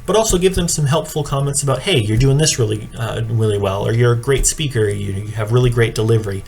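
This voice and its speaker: A man giving an instructional presentation like a coach, explaining in a measured, matter-of-fact way. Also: medium pitch, a little mellow. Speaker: speech and debate coach training judges. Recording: clearly audible background noise.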